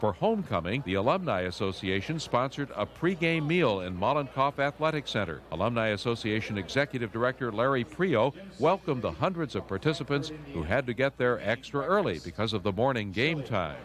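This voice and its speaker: A man's voice: mid-range voice, very animated, a little fast, few pauses, fairly bright tone. A man with an American accent reading scripted newsreel narration. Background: music.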